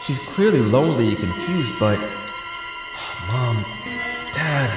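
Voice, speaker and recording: A man's voice: medium pitch, expressive, slow. A young man acting an anxious, battered superhero in an audio drama, earnest and breathless as he narrates his ordeal. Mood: worried, musing. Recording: very echoey hall-like reverb, music behind.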